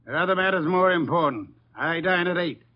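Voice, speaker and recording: An actor playing an imperious, unflappable detective with formal, precise diction in 1950s radio drama style. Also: male voice, sits high, expressive, brisk. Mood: imperious, brisk. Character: imperious, pompous, unflappable male genius detective. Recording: muffled vintage 1950s radio recording.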